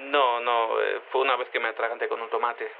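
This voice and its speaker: A man's voice: mid-range voice, level tone, fairly quick, vocal fry, narrow, tinny audio, a little hiss or hum, close to an everyday voice. A man playing a naive, rambling quantum cat in human form, interviewed in an audio drama, speaking casually and hesitantly.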